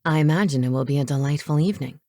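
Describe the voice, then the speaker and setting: A controlling teenage boy, voiced by a woman narrator in a novel reading. He speaks low and curt, with cool menace and sarcasm, in a very close, dry recording. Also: racing, darkish tone, no echo, close to an everyday voice. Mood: light, mocking.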